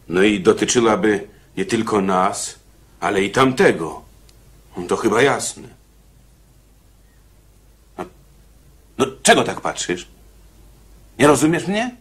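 Husky, halting condemned prisoner. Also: male voice, fairly low pitch, heavily acted. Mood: grave. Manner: hesitant, halting, spelling things out. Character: condemned male prisoner, deliberate, easily exasperated. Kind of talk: radio drama acting.

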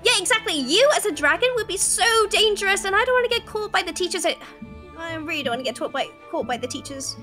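A woman's voice: extremely high pitch, expressive, quick pace, sharp tone, faint music behind, exaggerated voice. A woman playing a cautious, fretful wizard student in a Minecraft roleplay, often hesitant and questioning. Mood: cautious, worried.

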